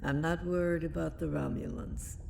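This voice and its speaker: A woman's voice: deep voice, fairly even intonation, moderate pace, creaky, a little mellow, a little hiss or hum. A woman playing an older, weary, reflective starship captain in an audio drama. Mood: weary, subdued.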